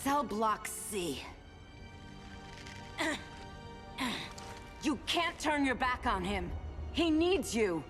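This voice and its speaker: A woman playing a proud, forceful warrior heroine in a game cutscene, earnest and insistent. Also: fairly high pitch, big pitch swings, takes it slowly. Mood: urgent reproach. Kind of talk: dramatic game cutscene acting. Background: cinematic music.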